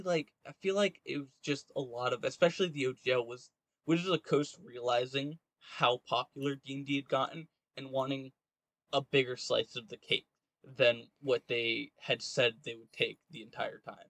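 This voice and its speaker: A man in a casual, opinionated podcast discussion, speaking in a rambling, halting way and often saying "like". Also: medium pitch, a bit bright, no echo. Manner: rambling, halting delivery with frequent "like". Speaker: podcast co-host.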